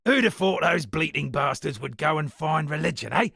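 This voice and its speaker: A gravelly, theatrical poet. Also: male voice, on the high side, expressive, moderate pace, pushed and bright, dry recording, clean studio sound, heavily acted. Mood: wry musing. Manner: florid, grandiloquent, dramatic. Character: theatrical, self-satisfied male town poet and storyteller. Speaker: video game character voice actor. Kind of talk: theatrical game dialogue with declaimed verse.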